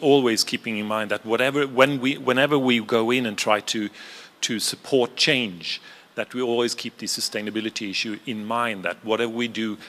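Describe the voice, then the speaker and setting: A man giving an informal group readout at a roundtable, speaking in halting, hesitant phrases. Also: on the low side, a bit bright. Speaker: roundtable participant reporting back.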